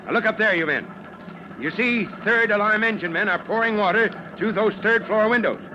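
An actor playing an authoritative fire chief, commanding and urgent, in an old-time radio drama. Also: male voice, sits high, brisk, lightly acted. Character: authoritative fire chief rallying his men. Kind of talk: 1940s radio acting. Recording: noisy muffled old radio recording.

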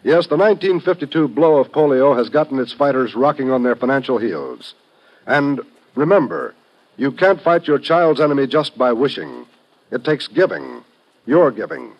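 Earnest American radio announcer, muffled sound. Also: male voice, medium pitch, animated, moderate pace, gravelly. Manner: earnest, formal. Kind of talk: 1950s radio announcing, scripted appeal. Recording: muffled old radio broadcast.

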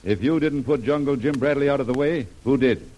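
An actor playing a suspicious, sardonic ship's boss in a vintage radio serial, formal and controlled, on a noisy old recording. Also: male voice, medium pitch, fairly quick, a little mellow, lo-fi sound, natural voice. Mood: accusing, suspicious. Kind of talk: 1930s radio serial acting.

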